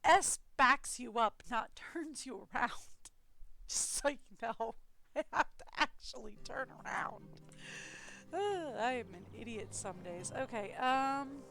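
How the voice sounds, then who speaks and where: A woman's voice: fairly high pitch, slow, bright, forceful tone, low-quality recording. A woman streaming a game live, commenting in a chatty, sarcastic, self-mocking way.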